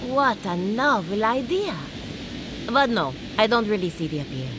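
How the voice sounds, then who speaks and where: Woman playing a haughty scholar, lively pitch. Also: moderate pace, noisy background, natural voice. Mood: haughty curiosity. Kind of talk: theatrical video game acting.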